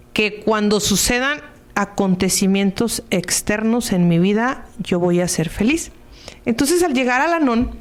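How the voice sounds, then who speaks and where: A woman giving a conversational, explanatory radio talk as a support group member, teaching by asking and answering her own rhetorical questions. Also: mid-range voice.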